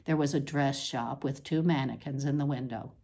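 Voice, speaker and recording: A woman reading a literary essay aloud as scripted narration, with deliberate, measured and emphatic phrasing. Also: rumbling low, mellow. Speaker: essay reader.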